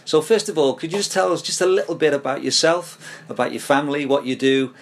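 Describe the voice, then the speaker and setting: A man's voice: fairly high pitch, fairly quick. Man hosting a running podcast. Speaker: podcast host. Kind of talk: podcast interview.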